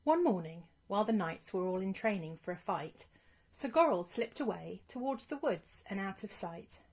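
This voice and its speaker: A woman reading a rhyming children's storybook as scripted narration, in an expressive storytelling manner. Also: mid-range voice, normal speed.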